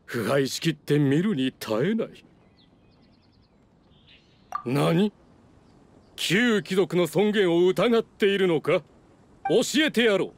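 An actor playing a haughty descendant of fallen aristocrats in a video game, speaking in a pompous, scornful, lordly manner. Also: male voice, high voice, expressive, slow, long pauses, full character voice. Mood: scornful, proud. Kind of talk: theatrical video game dialogue.

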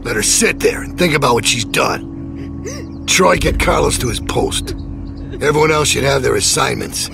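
An actor voicing a menacing, domineering middle-aged leader in a video game, preachy and measured with folksy menace. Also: male voice, medium pitch, gravelly, music in the background. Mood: commanding. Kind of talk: dramatic video game voice acting.

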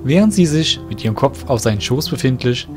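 A man narrating a fan-made audio drama in a calm, even storytelling manner. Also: medium pitch, a little fast. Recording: soft background music.

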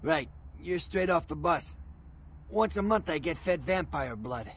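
An actor playing a badly beaten, bitter gangster ghoul in a video game, groaning in pain. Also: male voice, a little high, animated, unhurried, slightly rough. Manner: groaning, pained, gritty streetwise talk. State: in pain, straining.

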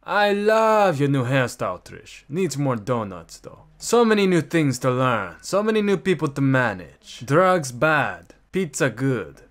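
A man's voice: medium pitch, big pitch swings, a little slow, few pauses. A man playing theatrical male anime characters with dramatic, exaggerated delivery in a fan comic dub. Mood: grand, dry.

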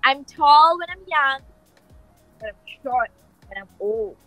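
Bright, high-voiced bubbly woman host. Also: takes it slowly, dry recording, soft background music, rough recording. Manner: bubbly, expressive, playful. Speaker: radio show host. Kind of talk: casual video-call interview. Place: indoor studio room. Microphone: video call.

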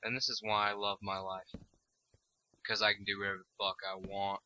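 Bright-voiced rambling, profane young man. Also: on the low side, flat delivery, a little fast. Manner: rambling, profane, loses his train of thought. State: tired and stoned. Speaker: gameplay commentator. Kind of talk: casual let's-play commentary.

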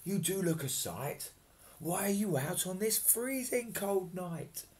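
A big, jolly old Father Christmas from a children's storybook, as a man reads him aloud. He is hearty and exclamatory, and his pitch swings about in a lively way. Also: slow pace.

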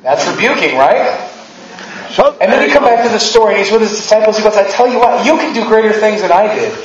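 A man's voice: sits high, expressive, fast talker, a crowd in the background. Man preaching on stage with handheld mic, joking and conversational, dull roomy church sound heard from far off. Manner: chatty and humorous, acting out quotes with rising emphasis. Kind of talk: conversational sermon. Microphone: handheld mic, far camera.

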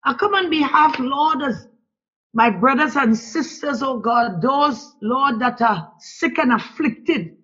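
A woman's voice: high voice, slow, fairly bright tone, slightly rough. A woman leading a fervent spoken prayer, pleading and repeating calls to God and the Lord between phrases. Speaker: prayer leader. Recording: dull lo-fi recording.

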